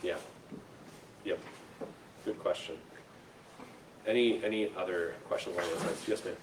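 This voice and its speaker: A man's voice: sits low, steady tone, normal speed. An American man giving an informal educational presentation to an audience, talking conversationally and checking in with his listeners. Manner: conversational, checks in with "right?" and "okay?". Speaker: presenter, lecturer. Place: room at a school, speaking to an audience. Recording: roomy echo, distant mic, faint noise.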